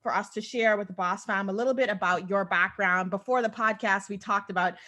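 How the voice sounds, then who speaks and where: A woman from the Bahamas hosting a podcast interview, enthusiastic and quick to laugh, over a video call. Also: medium pitch, bright, forceful tone. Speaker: podcast host. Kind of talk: casual interview chat.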